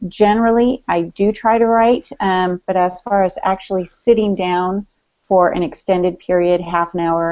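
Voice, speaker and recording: A woman's voice: lowish voice, a little mellow, highs cut off. A woman co-hosting a podcast, talking casually and candidly, often qualifying what she says. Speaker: podcast co-host and writer.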